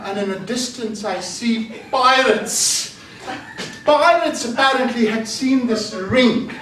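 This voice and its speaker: Male contest speaker, high lively voice, slow deliberate delivery, echoey hall, distant mic. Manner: animated storytelling, laughing often. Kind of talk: tall-tale speech at a speaking club. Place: meeting hall. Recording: echoey hall, far from mic, faint noise.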